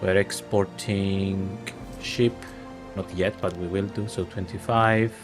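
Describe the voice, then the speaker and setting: Man, low voice, gaming commentary. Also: music in the background. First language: Greek. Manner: thinking aloud, relaxed and unhurried. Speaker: gaming video host. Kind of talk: casual gameplay commentary.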